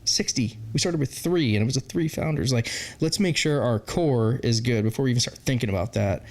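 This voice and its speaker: A man's voice: mid-range voice, fairly quick, a little mellow. A forty-year-old man answering interview questions as a brewery founder, candid and rambling, with lots of fillers and laughs. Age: 40s.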